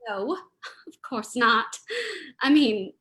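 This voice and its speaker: Woman playing a high-voiced, nervous plucky lady sidekick. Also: slow pace, pushed and bright. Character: nervous but plucky female companion of detective. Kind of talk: old-time radio drama reading.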